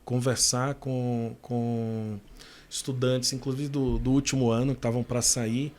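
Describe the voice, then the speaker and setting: A man, speaking at a middle pitch. He talks as a guest on a professional podcast, easygoing and conversational, often restarting his sentences as he recalls his training. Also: a little slow. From Salvador, Bahia, Brazil. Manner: relaxed storytelling with frequent fillers and restarts. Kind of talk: casual interview conversation.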